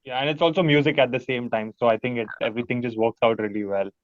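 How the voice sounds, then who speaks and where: A man's voice: medium pitch, fairly even intonation, fast talker, dry recording. Indian guy musician, casual keen chat, dry muffled lo-fi sound. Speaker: musician, interview guest. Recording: dull, lo-fi audio.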